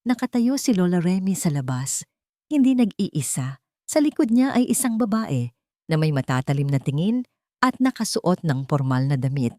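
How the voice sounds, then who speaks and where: A woman with a low voice reads a dramatic story, her pitch rising and falling widely. Her delivery is deliberate and emotive, and the sound is dry and close. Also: big pitch swings, darkish tone, dry recording. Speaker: story narrator. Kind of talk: dramatic scripted narration of a sentimental drama.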